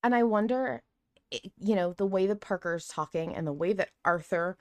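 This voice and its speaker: A woman hosting a podcast, talking casually in an expressive, reflective way. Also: on the low side, normal speed, dry recording. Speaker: podcast host.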